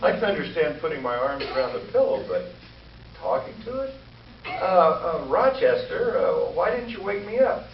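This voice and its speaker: Fussy, vain male comedian played by an actor, high and swooping, slow stammering delivery, vintage radio comedy, echoey stage. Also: big pitch swings. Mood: flustered, fussy. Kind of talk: 1940s radio comedy acting. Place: live stage show before an audience. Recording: echoey stage recording, distant, noisy, dull.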